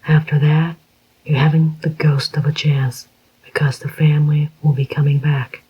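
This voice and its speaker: A reader whispering the part of a calm, scheming male club owner in a slow, even voice. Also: a little high, slightly rough. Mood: cool. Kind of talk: whispered audiobook reading. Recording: dull lo-fi recording.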